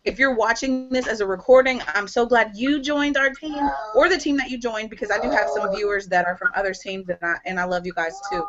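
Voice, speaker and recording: A woman leading a live sales training on a video call, energetic and chatty with many fillers. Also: on the high side, brisk, hardly any pauses, dull audio, heavy background noise. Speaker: team leader hosting a sales training call.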